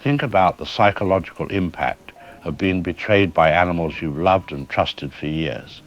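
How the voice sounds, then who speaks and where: Actor as grave, low-voiced male scientist. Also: lo-fi sound. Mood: grave, ominous. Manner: serious, explanatory. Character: grave, measured male scientist radio guest. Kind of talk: expert interview in audio drama.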